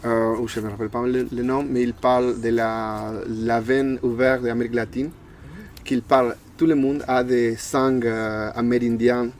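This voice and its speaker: A man's voice: mid-range voice, little pitch movement, moderate pace, a little hiss or hum. An Argentine man in his thirties, a native Spanish speaker, talking casually in an interview and searching for words with a Spanish accent. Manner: hesitant, searching for words in a second language. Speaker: interview guest.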